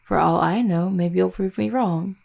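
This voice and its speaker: Husky-creaky, tender vampire girlfriend played by a woman. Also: lowish voice, moderate pace, darkish tone. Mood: playful coaxing. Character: affectionate, teasing vampire girlfriend, protective and doting. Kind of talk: intimate roleplay audio acting.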